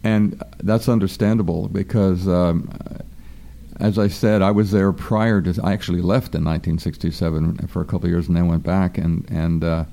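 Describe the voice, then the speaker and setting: A low, gravelly man co-hosts an interview. He speaks haltingly, with many "uh"s and restarts, and his voice is dark and relaxed. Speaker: co-host.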